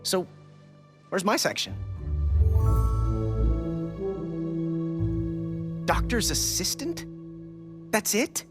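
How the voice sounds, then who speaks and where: A man's voice: high voice, sing-song, takes it slowly, loud music behind the voice, full character voice. A man playing an eager, cheeky young human hero in a video game, earnest and teasing. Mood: indignant. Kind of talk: video game cutscene acting.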